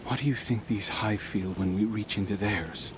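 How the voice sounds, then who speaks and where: A man's voice: lowish voice, normal speed, noisy background, close to an everyday voice. A man playing a troubled, compassionate soldier in a video game, earnest and questioning in his delivery. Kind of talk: dramatic video game voice acting.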